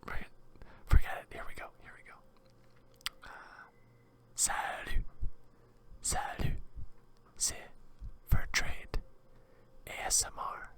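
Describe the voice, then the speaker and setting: An ASMR host from Quebec with a Canadian accent, rambling in a halting, hesitant whisper. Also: fairly bright tone.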